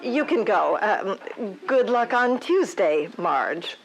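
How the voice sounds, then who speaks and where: A woman playing a dry, patient investigator in a comedic audio drama, with a deadpan, measured, official manner. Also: medium pitch, a crowd in the background, low-quality recording. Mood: patient, weary. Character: dry, patient female investigator questioning a suspect.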